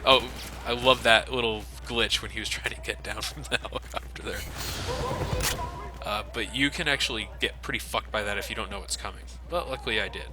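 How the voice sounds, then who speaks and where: A man's voice: lowish voice, brisk. A man giving casual, sarcastic, sweary commentary as he plays a video game. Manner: sarcastic, profane. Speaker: let's play commentator. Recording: loud gunfire and game noise behind. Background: video game gunfire.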